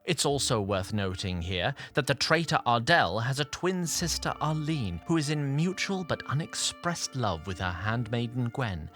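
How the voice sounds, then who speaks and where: A man narrates a fantasy tale in formal storybook language with dry asides. His medium-pitched voice moves with a lot of variety, with faint music underneath. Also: bright, forceful tone. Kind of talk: scripted dramatic recap narration.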